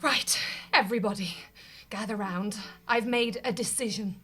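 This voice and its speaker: An actress playing a melodramatic, bossy and overwrought office manager in a scripted audio comedy. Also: female voice, medium pitch, moderate pace, husky. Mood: bossy, decisive. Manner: theatrical, swings from panic to forced calm.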